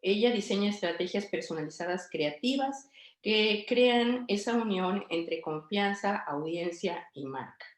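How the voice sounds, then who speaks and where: A middle-aged woman hosts the interview at a medium pitch, pausing on fillers as she gathers her words. Her voice carries a noticeable room echo. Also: lively intonation. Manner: hesitant, many fillers, friendly. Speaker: host and interviewer. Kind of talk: interview introduction and questions.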